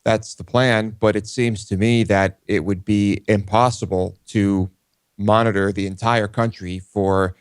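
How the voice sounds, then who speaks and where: A man with a fairly low voice chats about politics as a co-host. His manner is questioning and skeptical. He is recorded dry and close on a studio microphone. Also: fairly even intonation, a little slow, clean tone, no echo. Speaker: talk show co-host. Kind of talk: conversational political discussion.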